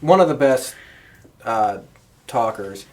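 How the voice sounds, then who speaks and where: A woman's voice: rumbling low, animated, takes it slowly. A woman co-hosting a podcast in a casual, conversational chat about a shared hobby. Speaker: wrestling podcast host.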